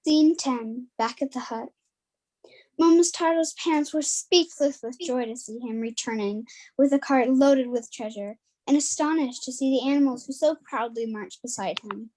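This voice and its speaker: A woman's voice: sits high, normal speed, a little mellow, rough recording. A female narrator reading a scripted folktale aloud over a video call.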